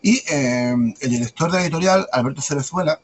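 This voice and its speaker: A man with a light Andalusian accent, a guest talking at length in a rapid, discursive way and tagging on questions. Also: fairly high pitch. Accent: light Andalusian Spanish. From Andalusia, Spain. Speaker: guest, publisher and author. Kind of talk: conversational interview answers.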